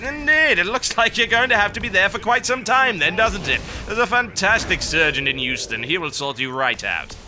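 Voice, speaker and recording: A man's voice: high voice, animated, moderate pace, few pauses, sharp tone, slight background noise, full character voice. A man putting on a pompous British officer. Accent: put-on posh British. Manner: pompous, haughty, clipped colonial-officer talk. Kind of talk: in-character co-op game commentary.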